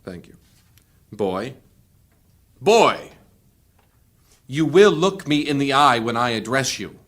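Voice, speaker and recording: A man playing an imperious, commanding high priest in a fantasy audio drama, speaking formally. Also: lively intonation, slow, fairly bright tone. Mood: stern, menacing.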